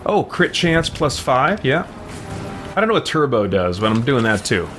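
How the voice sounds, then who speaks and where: Animated man doing casual gameplay commentary. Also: medium pitch, normal speed. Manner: thinks aloud, muses to himself. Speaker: let's play host. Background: game music.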